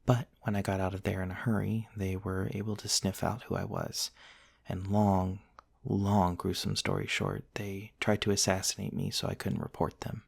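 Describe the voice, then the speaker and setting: A man playing a gentle, courteous centuries-old vampire prince in an intimate ASMR roleplay, soft-spoken and formal, with hesitant pauses. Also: low-pitched, flat delivery, creaky, slightly rough, no room sound, studio quality, full character voice. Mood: somber, reflective. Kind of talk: intimate ASMR roleplay, storytelling to one listener.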